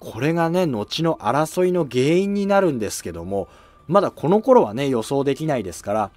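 A young man hosting a history channel, explaining events in a polite, casual way with drawn-out fillers, recorded on a lapel mic in a studio. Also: medium pitch, big pitch swings, a little mellow, dry recording.

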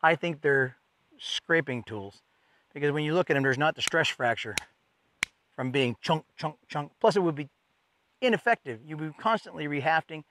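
A man's voice: on the high side, animated, normal speed, fairly bright tone, slight background noise. Folksy man. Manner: folksy, enthusiastic explainer. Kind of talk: outdoor educational explanation. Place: outdoors in a forest.

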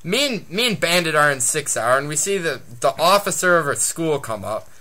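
A young man with a fairly high, bright voice tells a casual story. He is crude and swears constantly, with very lively swings in pitch. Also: normal speed. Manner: crude, foul-mouthed. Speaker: guest storyteller.

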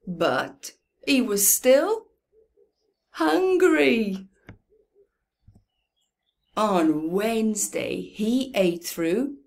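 A middle-aged woman reading a picture book to young children in an expressive, deliberate way, with dramatic pauses and hushed emphasis. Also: fairly high pitch. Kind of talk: slow children's picture-book read-aloud. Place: indoors in a room at home.

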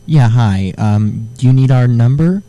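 A man's voice: mid-range voice, a little slow, mellow, lightly acted. A man speaking as a second person in the house, a roommate named Tom, in a chirpy, falsely polite tone.

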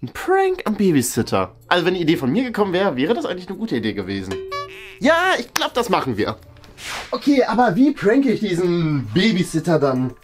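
A young man playing a bossy, self-important older-brother boy in a kids' comedy skit, with a hesitant, drawn-out delivery. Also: high-pitched, huge pitch swings. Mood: scheming. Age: young adult. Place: furnished room indoors. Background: background music.

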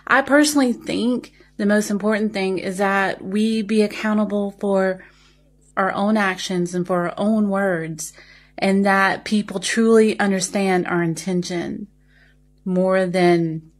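A woman's voice: medium pitch, fairly even intonation, unhurried. A woman with a Southern American accent and a slight speech impediment, talking earnestly and carefully in a reflective personal way. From Southern United States. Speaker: social media video responder. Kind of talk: reflective personal talk to camera.

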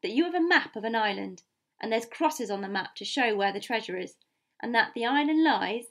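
Storybook sea captain, played by a woman reader: stern, gruff man, curt and emphatic, formal in his speech. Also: fairly high pitch, animated, brisk, fairly bright tone. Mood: firm, insistent. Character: gruff, blunt, stern male ship's captain. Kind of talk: audiobook character voice.

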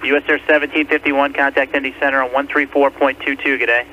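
A man's voice: mid-range voice, little pitch movement, fast talker. A man working as an air traffic controller, speaking in clipped radio phraseology over an aviation radio. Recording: muffled, noisy air traffic radio recording.

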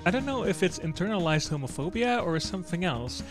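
A man's voice: high-pitched, animated, fairly quick. A man reading out short viewer questions in a scripted style for a question-and-answer show. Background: music under the voice.

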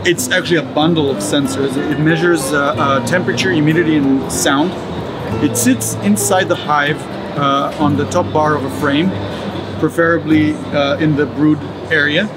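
A man's voice: a little high, fairly even intonation, normal speed, loud music behind the voice. A man in his thirties, a native Hebrew speaker, explaining his company's product in an interview at a trade show booth, with many fillers and restarts. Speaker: startup executive.

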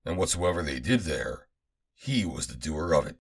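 A man reading the Bible aloud as scripted narration, in a measured, declamatory manner, phrase by phrase. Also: rumbling low, animated, gravelly, breathy edge. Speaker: Bible reader.

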